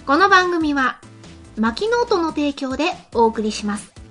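A woman's voice: sits high, very animated, normal speed, bright, forceful tone, soft background music. A woman hosting a casual internet radio show, switching between chat and scripted announcements.

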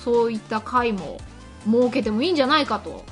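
A woman's voice: a little high, expressive, fairly quick, faint music behind. A woman hosting a casual internet radio show, switching between chat and scripted announcements.

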